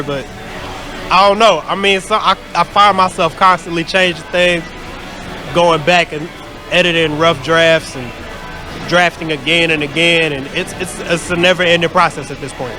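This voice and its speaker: Man, from St. Louis, producer, slightly high voice, relaxed, reflective, music in background. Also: normal speed. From St. Louis, USA. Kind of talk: interview answers. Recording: music playing behind.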